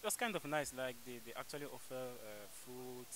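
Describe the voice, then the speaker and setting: A young man from Cameroon answering street interview questions outdoors, pausing and repeating words as he searches for them. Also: mid-range voice, noisy background. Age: young adult. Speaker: interviewee, foreign student. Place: outdoors on a campus walkway. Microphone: handheld microphone held by interviewer.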